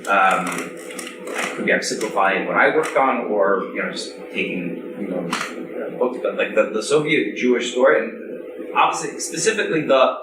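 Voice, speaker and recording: A man on a discussion panel, speaking casually and haltingly with many restarts and fillers. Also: fairly low pitch, expressive, background noise. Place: large room with an audience. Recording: echoey room mic from far off, crowd. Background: crowd murmur.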